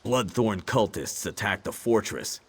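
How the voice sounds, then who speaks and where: A blunt, menacing orc guard in a fantasy game. The voice is very deep and husky, terse and wary of outsiders. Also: male voice, animated, unhurried. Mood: stern warning. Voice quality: husky, rough low voice. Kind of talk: fantasy video game dialogue.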